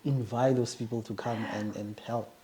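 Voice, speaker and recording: A woman's voice: extremely low pitch, fairly even intonation, normal speed, warm tone. A woman hosting a podcast interview, asking questions with restarts and brief affirmations. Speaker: podcast host.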